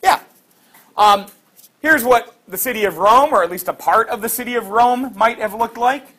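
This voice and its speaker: High-voiced lively male teacher. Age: middle-aged. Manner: lively, quizzing students with quick questions. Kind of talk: classroom lecture with student questions. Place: classroom.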